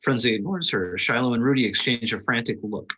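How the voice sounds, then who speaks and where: A man's voice: mid-range voice, a little fast, sharp tone, slightly rough. A man reading scripted stage directions aloud as narrator at a table read.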